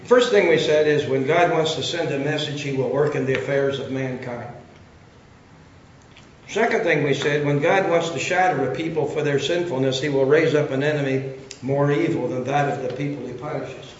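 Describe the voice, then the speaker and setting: Older male preacher, fairly high voice, rough throat, very echoey church, dull sound. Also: moderate pace, a little hiss or hum. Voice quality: coughing, cough drop in mouth. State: losing his voice, throat trouble. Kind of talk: sermon storytelling.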